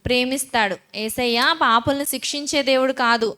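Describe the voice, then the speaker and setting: A woman's voice: high-pitched, fairly even intonation, brisk, pushed and bright, smooth. A woman presenting a children's puppet show, talking as a reassuring, instructive big sister to a puppet.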